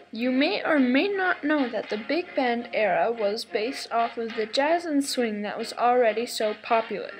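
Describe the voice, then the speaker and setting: A perky sock puppet lecturer, voiced by a woman, speaks in a notably high voice. The delivery is scripted and presentational, with playful exaggeration and an excitable temper. It sounds like a homemade educational puppet show. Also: rough recording. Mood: perky, teasing. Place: home kitchen.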